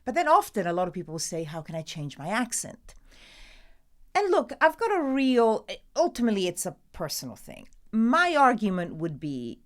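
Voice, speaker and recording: A woman's voice: medium pitch, wildly swooping pitch, moderate pace. A middle-aged Italian woman, a newsreader guest, talking casually and emphatically with an Italian accent, often laughing. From Italy. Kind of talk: casual interview chat.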